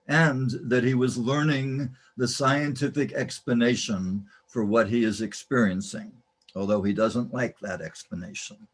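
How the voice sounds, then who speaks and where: Male radio-play narrator, medium pitch, unhurried ceremonious delivery of a scripted introduction. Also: slightly rough. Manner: measured, ceremonious.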